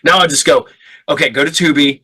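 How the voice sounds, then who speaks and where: A man's voice: on the high side, a little fast, bright, forceful tone, breathy edge. A male podcast host chatting casually, stammering over restarts and laughing often. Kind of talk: casual chat.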